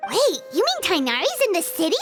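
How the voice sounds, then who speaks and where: A woman voicing a tiny, childlike, easily confused fairy companion in a video game, with a squeaky delivery. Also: huge pitch swings, brisk, big-room sound, music in the background, full character voice. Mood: puzzled.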